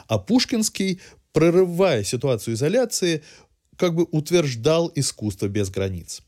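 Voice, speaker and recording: Expressive male podcast host, lively intonation. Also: medium pitch. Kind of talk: scripted cultural news narration.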